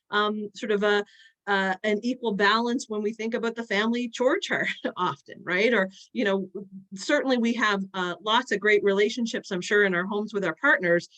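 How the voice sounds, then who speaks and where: A woman speaking as a panelist in an online discussion, earnest and halting, with frequent fillers and restarts. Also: medium pitch, a bit bright, poor audio.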